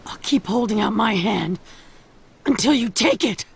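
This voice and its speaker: A woman voicing a brash, stubborn, loyal teenage boy in an American-accented anime dub, with a raspy edge. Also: fairly high pitch, lively intonation, measured pace, heavy background noise. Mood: determined. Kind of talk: English anime dub acting. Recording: music behind the voice.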